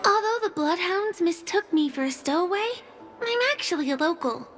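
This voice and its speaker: A woman's voice: very high-pitched, lively intonation, moderate pace, fairly bright tone, faint music behind. A woman voicing a sweet, polite young female performer and friendly guide in a video game. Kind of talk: scripted video game dialogue.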